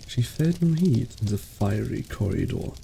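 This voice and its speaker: Man as solemn narrator, very dark voice. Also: medium pitch, animated, normal speed, creaky, a little hiss or hum. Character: solemn, grave storybook narrator. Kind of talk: scripted dramatic narration in a fan dub.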